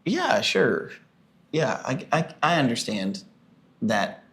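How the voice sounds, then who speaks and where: A man's voice: mid-range voice, big pitch swings, slow pace, fairly bright tone. Man in his forties musing casually. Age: 40s. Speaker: pastor and podcast co-host. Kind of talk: casual chat with spouse.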